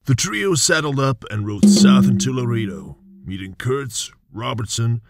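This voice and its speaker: A man narrating a western radio serial in a measured storytelling manner. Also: medium pitch, expressive. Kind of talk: scripted narration. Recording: narration over loud guitar music.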